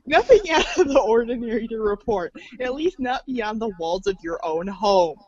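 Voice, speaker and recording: A woman's voice: a little high, big pitch swings, moderate pace, darkish tone, breathy edge, dry recording. A woman narrating a comic read-along storybook in a playful, sardonic manner. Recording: muffled, dull lo-fi audio.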